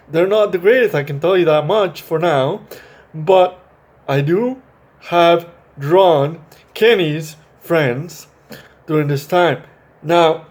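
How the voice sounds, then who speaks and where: Slow-paced, higher-pitched man hosting children's storytime. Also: a little mellow. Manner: halting, repeats and corrects himself. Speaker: storytime video host reading a children's book. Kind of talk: children's story read-aloud with chatty asides to young viewers.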